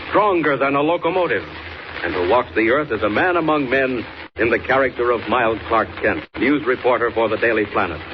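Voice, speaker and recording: An American man narrating a 1940s radio serial as announcer, dramatic and declamatory. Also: mid-range voice. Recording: muffled, noisy old radio transcription.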